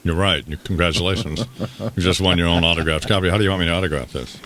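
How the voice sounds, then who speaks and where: A man's voice: low voice, fairly even intonation, quick pace, fairly bright tone, slight background noise. A man hosting a morning radio show with casual talk and a dry, wry delivery. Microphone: close radio studio microphone.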